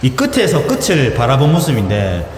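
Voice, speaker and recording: A man's voice: mid-range voice, wildly swooping pitch, normal speed, big-room sound, a crowd in the background. A man hosting a conversational on-site property tour, recapping and confirming points aloud as he goes. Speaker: real estate vlog host. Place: commercial building site and showroom. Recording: clear background noise on location.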